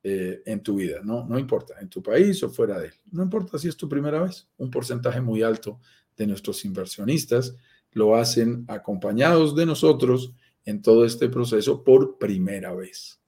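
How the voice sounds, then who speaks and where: A Colombian man hosting a live stream, pitching property investment in an upbeat sales manner with frequent fillers. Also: expressive, moderate pace, dark tone. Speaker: host, sales director of a property firm.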